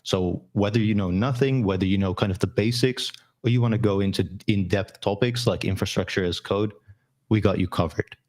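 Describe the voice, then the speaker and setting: A man hosting a live interview stream over a video call, with upbeat, smooth patter. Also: fairly low pitch, a little fast, a little mellow. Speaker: host.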